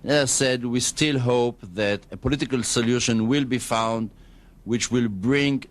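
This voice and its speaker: A man with an Israeli accent speaking as an official in a radio interview, choosing his words carefully and haltingly. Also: medium pitch. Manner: measured, careful, hesitant diplomatic phrasing. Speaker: government spokesman, radio guest.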